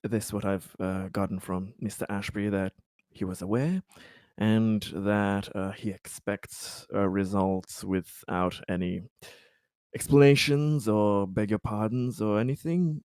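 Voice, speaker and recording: A man playing in a tabletop role-playing game, speaking in a halting, deliberate way as he searches for words. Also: lowish voice, fairly even intonation, a little mellow, clear, resonant tone, no echo. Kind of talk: role-play and game discussion.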